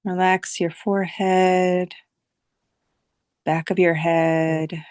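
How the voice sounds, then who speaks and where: Slow, smooth-voiced woman guiding meditation. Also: fairly low pitch, little pitch movement, a little mellow, no echo, studio quality. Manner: soothing, unhurried, with long pauses between phrases. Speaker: guided meditation teacher. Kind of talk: guided visualization meditation.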